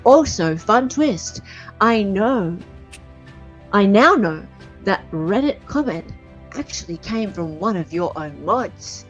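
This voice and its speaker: An Australian woman hosting a casual livestream, doing dramatic readings and commentary in a sarcastic, bantering way. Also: mid-range voice. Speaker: livestream host. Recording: dull lo-fi audio. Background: music.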